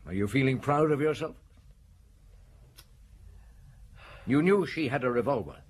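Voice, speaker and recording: A man's voice: mid-range voice, animated, fast, lightly acted. A man playing a stern, relentless chief inspector in an audio drama, blunt and commanding as he accuses. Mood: blunt, accusing. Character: stern, relentless male police chief inspector, menacing and sure. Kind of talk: audio drama acting, interrogation and narration. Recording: clearly noisy drama recording.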